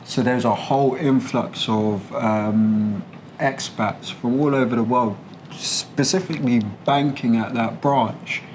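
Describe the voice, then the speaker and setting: A man's voice: medium pitch, steady tone, takes it slowly, a little mellow, a little hiss or hum. A man with a London accent talking candidly in an interview, colloquial and explanatory. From London, England. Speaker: interviewee, British expat. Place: a condo apartment.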